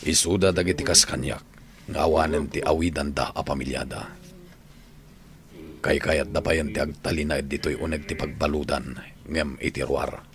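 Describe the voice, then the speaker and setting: A man acting an older prisoner in an Ilocano radio drama, calmly and measuredly counselling a younger inmate. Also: extremely low pitch, normal speed, gravelly, crowd noise. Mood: reassuring, explaining. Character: older male prisoner, calm fatherly advisor to younger inmate.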